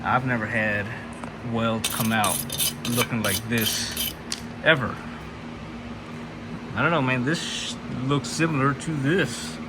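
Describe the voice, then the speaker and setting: Male DIY host, mid-pitched, laid-back and profane, talking off the cuff over noticeable garage noise. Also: fairly even intonation, slow, long pauses. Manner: laid-back, sweary, plain-spoken. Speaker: host of a DIY car build channel. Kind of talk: casual unscripted build walkthrough. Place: garage workshop.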